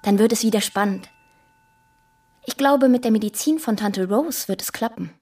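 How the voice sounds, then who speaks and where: A woman's voice: on the high side, moderate pace, a little mellow, distant mic, lightly acted. A woman playing a callous, petulant little girl in a radio play, reciting her diary in a matter-of-fact, childishly spiteful way. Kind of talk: radio drama acting.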